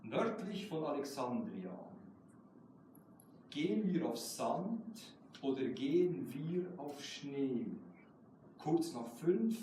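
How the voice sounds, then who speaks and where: A man's voice: fairly high pitch, big pitch swings, slow, warm tone. Echoey, distant male poet, native German speaker. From Switzerland. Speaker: guest poet. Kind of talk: poetry reading with casual asides. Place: indoor live poetry event. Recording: roomy echoing hall, distant mic, noisy.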